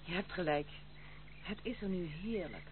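An actress as a wilful young woman in a period radio play. She is proud, firm and guarded. The recording is thin and telephone-like, with clear hiss. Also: fairly low pitch, lively intonation, normal speed, close to an everyday voice. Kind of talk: period radio drama acting. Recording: muffled, hissy old radio play.

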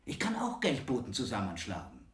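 A man's voice: on the low side, wildly swooping pitch, fairly quick. An actor playing a brooding, volatile man who boasts of violence in a German crime radio drama. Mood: ominous. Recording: echoey, roomy sound.

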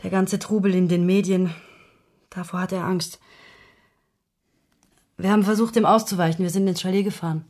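A guarded woman in grief, with a fairly low voice, played by an actress. She confides as someone with a secret love would, in the natural dialogue of a radio drama. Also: steady tone, moderate pace, pauses often, darkish tone, close to an everyday voice. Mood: confiding.

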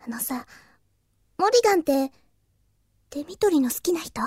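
A childlike catgirl in an anime audio drama. The voice is extremely high and cutesy, recorded dry and close. She speaks softly, with naive kindness. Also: expressive, moderate pace, no room sound. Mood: shy, affectionate. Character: innocent, kind-hearted young catgirl, childlike. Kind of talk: Japanese anime-style audio drama acting.

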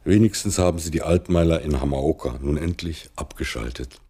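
A man narrating a radio play as a concerned islander reporting on life after a nuclear disaster, reflective and husky. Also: a bass voice, brisk, mellow. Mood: sober, wry.

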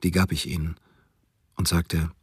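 A man reading a wartime photographer's memories slowly and soberly for a German radio documentary. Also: sits low, flat delivery, a little mellow, slightly rough, no echo, studio quality. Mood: somber. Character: male wartime photographer, compassionate, reflective.

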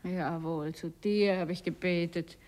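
An actress playing a grandiose, mocking stage diva in a radio play, with a theatrical, teasing delivery. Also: female voice, lowish voice, fairly even intonation, unhurried, a little mellow, lightly acted. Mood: grand, teasing.

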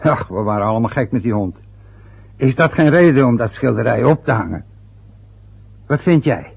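Aging father, stubborn and sarcastic, gravelly voice, lo-fi radio drama. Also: medium pitch, lively intonation, moderate pace. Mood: aggrieved. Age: older. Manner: grumbling, sarcastic. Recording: dull lo-fi old radio recording.

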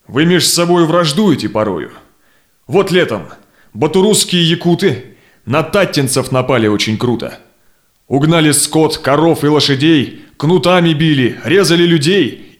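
An actor playing a steadfast, conciliatory Cossack envoy in a verse audio drama, speaking in measured, persuasive declamation. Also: male voice, fairly high pitch. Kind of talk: theatrical verse drama acting.

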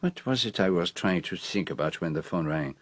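An actor playing a fussy, shrewd older Belgian detective with a French-speaking Belgian accent, precise and theatrical in a radio drama. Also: male voice, deep voice, expressive, a little fast, no room sound. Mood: musing, intrigued.

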